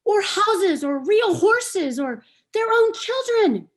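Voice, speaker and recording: A woman voicing a proud, sorrowful female unicorn in a table read of a stage play, speaking in a slow, earnest, questioning way. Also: very high-pitched, very animated, exaggerated voice. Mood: bewildered.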